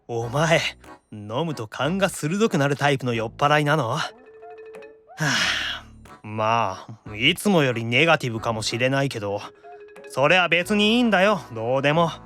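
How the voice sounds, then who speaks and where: A self-doubting young otaku demon voiced by a man frets through an anime-style audio drama. His high, youthful male voice is very lively, sulky and stammering, with music underneath. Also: moderate pace, close to an everyday voice. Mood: guarded, gloomy. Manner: hesitant, stammering, exasperated muttering.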